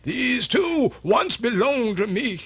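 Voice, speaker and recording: A man's voice: extremely high pitch, sing-song, takes it slowly, gravelly, a bit bright, slightly rough, heavily acted. A man playing an aged, weary yet proud storyteller elder, with a folksy, solemn, oratorical delivery in a radio theatre monologue. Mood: proud, defiant. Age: older. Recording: music playing under the voice.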